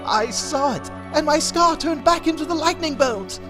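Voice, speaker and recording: A teenage vampire boy wizard performed by a man for a comic story reading. He is high-voiced, urgent and melodramatic, forever on the edge of panic, over clear music. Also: normal speed. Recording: music behind the voice.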